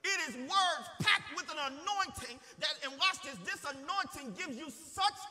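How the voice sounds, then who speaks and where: A man's voice: very high-pitched, lively intonation, moderate pace, bright, forceful tone. A man preaching a church sermon in an impassioned, emphatic way, repeating phrases for effect and calling on the congregation to respond. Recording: long reverberant hall sound.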